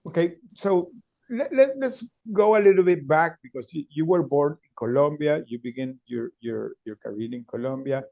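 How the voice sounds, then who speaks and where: Gravelly male host. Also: a little high, dry recording, lo-fi sound. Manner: halting, repeats words, laughs easily. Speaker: show host. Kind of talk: conversational interview.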